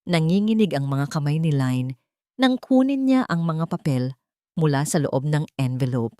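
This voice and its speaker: A woman's voice: low voice, darkish tone, dry recording, studio quality. A woman reading scripted audio drama narration as a storyteller, in an earnest, emotive manner.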